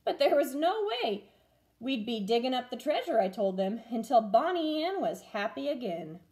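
A woman's voice: fairly high pitch, moderate pace. A woman in her twenties, a teacher, expressively reading a picture book aloud to children at home. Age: 20s. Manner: expressive storytelling. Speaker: first-grade teacher. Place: small room at home, by a window.

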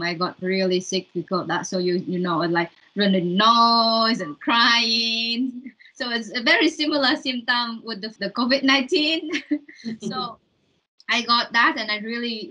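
A woman's voice: mid-range voice, bright, forceful tone, low-quality recording. A young woman from Vietnam with a Vietnamese accent, chatting casually in an interview over a video call. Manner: chatty, with fillers and non-native grammar. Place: room at home, indoors.

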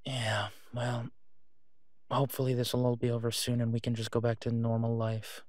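A man playing a tired, devoted and protective werewolf in an intimate audio drama phone call, speaking in a halting, reassuring way. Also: mid-range voice, monotone, no room sound, clean studio sound, close to an everyday voice. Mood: weary, reassuring.

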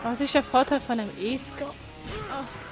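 Fairly high woman chatting over game music. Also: animated, moderate pace. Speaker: co-streamer reading and answering chat. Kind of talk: casual chat beside gameplay.

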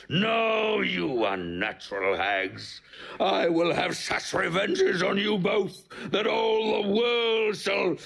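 Casting: aged tragic king, raised pitch and creaky voice, grand declamatory classical delivery. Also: a bit bright, exaggerated voice. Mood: defiant rage. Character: wretched, raging old king, proud father. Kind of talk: classical Shakespearean audio drama.

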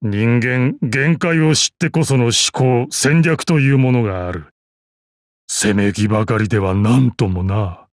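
Man acting a gruff old martial arts master, close and dry. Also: medium pitch, unhurried, no room sound, close to an everyday voice. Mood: musing, composed. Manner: archaic old-man speech, gruff dignity, dry amusement. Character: proud, battle-hardened old martial arts master assassin. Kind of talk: Japanese mobile game character voice lines.

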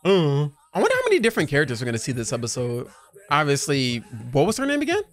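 A young man hosting a casual anime reaction on a headset mic in a home streaming room, animated and quick to laugh. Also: fairly high pitch. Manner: animated, laughs often, talks fast in bursts.

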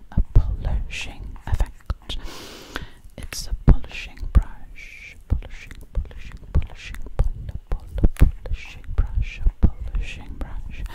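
A woman doing ASMR in a breathy whisper, very close to the mic, soothingly repeating words over and over. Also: low-quality recording. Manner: slow, soothing, hypnotic repetition of words. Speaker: ASMR video creator. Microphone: very close ear-to-ear microphone.

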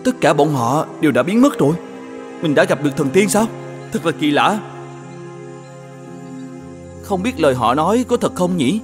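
Kind simple farmer in a cartoon, slightly high voice, earnest, music playing behind. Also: male voice, very animated, moderate pace, long pauses. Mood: amazed. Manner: earnest, polite, slightly naive. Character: big humble, good-natured male peasant farmer. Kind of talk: animated fairy tale dialogue.

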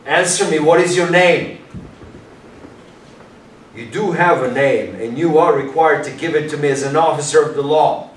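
A man's voice: fairly high pitch, normal speed, long pauses, lightly acted. A man playing an earnest young police detective in a staged reading, commanding and insistent in his questioning. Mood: stern. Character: earnest, officious young male police detective, easily exasperated. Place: small performance room with audience, far camera. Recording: distant, echoey room recording with noise.

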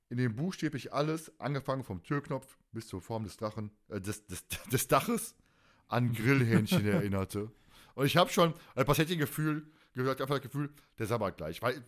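A man chatting casually as a podcast host, rambling and emphatic in colloquial speech. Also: medium pitch.

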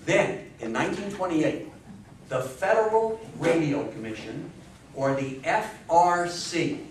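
Older man, public lecturer, slightly high-pitched voice, emphatic, folksy, very echoey room. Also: animated, slow. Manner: emphatic, folksy, prompting the audience. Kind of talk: animated public history lecture with audience questions. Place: small meeting room with seated audience. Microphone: distant camera microphone. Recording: echoey room, distant microphone, faint noise.

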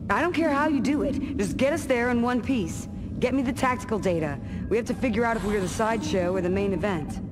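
A woman's voice: fairly high pitch, a little fast, in a large hall, background noise, lightly acted. An actress playing a tough, terse female military major in a video game cutscene, speaking with dry authority. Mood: stern, urgent.

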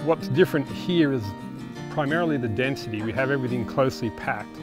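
A middle-aged man, an urban farmer, speaks in a calm, thoughtful, plain way in an outdoor documentary interview. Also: mid-range voice, a little fast. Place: outdoors on a farm. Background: guitar music.

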